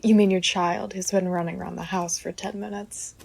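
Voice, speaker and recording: An actress playing a patient, wry mother gently teasing her family in a fantasy audio drama. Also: on the low side, steady tone, brisk, creaky, slightly rough. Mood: amused teasing.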